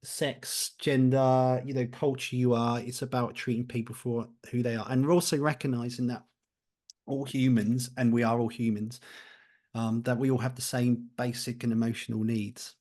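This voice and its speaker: A man's voice: medium pitch, level tone, moderate pace, a little mellow. A man talking candidly and informally as a podcast guest on mental health, with a blunt way of putting things. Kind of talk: casual, candid podcast conversation.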